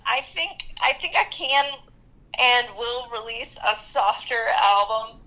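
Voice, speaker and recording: A young woman speaks bluntly and outspokenly, with a bright, forceful edge to her voice. She's a musician and activist giving a casual interview and laughing easily. The sound is a little phone-like. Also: fairly high pitch, expressive, slow. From New Jersey and New York area.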